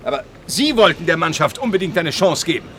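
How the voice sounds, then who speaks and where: An actor playing a cold, cynical and irritable space-agency doctor in a radio play, sarcastic and dismissive. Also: male voice, a little high, sing-song, brisk, a bit bright, a little hiss or hum. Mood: sarcastic annoyance.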